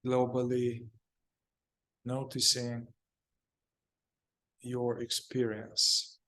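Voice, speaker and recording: A man leading a guided meditation, speaking calmly in short, halting phrases with long pauses. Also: mid-range voice.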